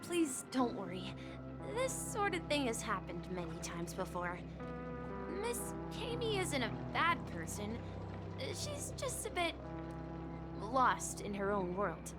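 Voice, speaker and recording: A gentle female guide in a video game. Her voice is fairly high and moves freely in pitch as she reassures, with loud music behind her. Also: wildly swooping pitch, takes it slowly, full character voice. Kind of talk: English video game voice acting.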